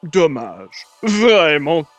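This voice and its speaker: A man's voice: fairly high pitch, sing-song, extremely slow, highs cut off, heavily acted. An actor playing a boastful, jovially mocking salesman pal in a comic old-time radio play, exuberant and condescending. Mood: gleeful, mocking.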